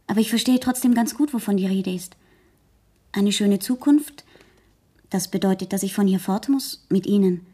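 A woman's voice: mid-range voice, normal speed, warm tone, slightly rough, close to an everyday voice. An actress playing an outspoken, proud teenage girl in a German radio play, speaking earnestly and frankly. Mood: earnest, firm.

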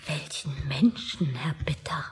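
A woman's voice: sits low, measured pace, a little mellow, heavily acted. An actress playing an anxious, absent-minded, wistful woman in a satirical radio play, speaking hesitantly. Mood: dry, chatty. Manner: hesitant, breathy, half-whispered.

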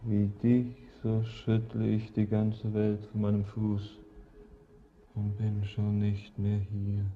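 A man's voice: on the low side, completely flat delivery, takes it slowly. An actor playing a yearning, world-weary man bidding farewell to life in a solemn, declamatory verse monologue for German radio drama. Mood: solemn longing. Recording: muffled old radio recording, faint noise.

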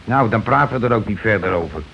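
An actor playing a bossy, calculating working-class husband in a Dutch radio play, blunt and argumentative in colloquial speech. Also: male voice, on the low side, lively intonation, fast, vocal fry, a bit bright. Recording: dull, noisy old radio recording.

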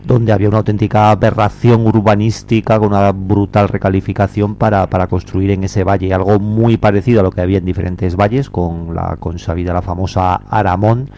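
Low, mellow man, faint rumble. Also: flat delivery, brisk, hardly any pauses. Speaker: environmental activist guest. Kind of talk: spontaneous radio interview talk.

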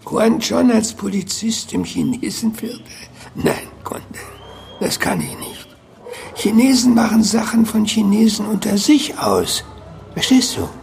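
An actor playing a taciturn, melancholy old Chinese immigrant in a radio drama, speaking in short, halting phrases with repeated words. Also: male voice, high-pitched, sing-song, vocal fry, full character voice. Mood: reserved. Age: older. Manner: terse, halting, repeats words, simple sentences. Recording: loud music behind the voice.

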